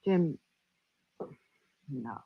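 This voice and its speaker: A woman's voice: extremely slow, no echo. An actress playing an anxious, pleading woman in love, in an emotional 1940s radio drama style. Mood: urgent, anxious. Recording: muffled old radio show recording.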